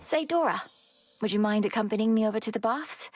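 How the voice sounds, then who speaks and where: An actress voicing a poised, determined young mythic heroine in a video game, with measured, earnest, formal diction. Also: female voice, mid-range voice, darkish tone, a little hiss or hum, close to an everyday voice.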